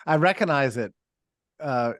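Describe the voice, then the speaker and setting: A man from Texas, chatting as a guest with a lively, swooping delivery and a middle-pitched voice. He restarts often and piles on asides while telling a story. The sound is dry and close, as over a video call. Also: unhurried, no echo. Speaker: guest commentator. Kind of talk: casual conversational interview.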